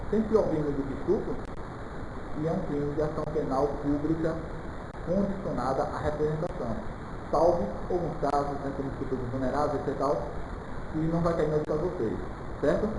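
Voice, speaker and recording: A man from Salvador, giving an informal live lecture as a law teacher, didactic and often checking in with listeners. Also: a little high. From Salvador, Brazil. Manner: didactic, with frequent check-in questions and colloquial asides. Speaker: criminal law teacher for public exam candidates. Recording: very echoey room with clear background noise.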